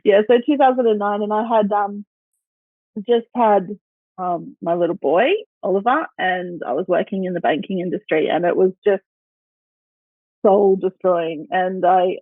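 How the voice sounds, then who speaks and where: A woman's voice: mid-range voice, moderate pace, no room sound. Australian woman on a tinny phone-like line. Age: 40s. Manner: easygoing, laughs often, many ums. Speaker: guest, dance studio owner. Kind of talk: casual interview chat. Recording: tinny phone-like remote call.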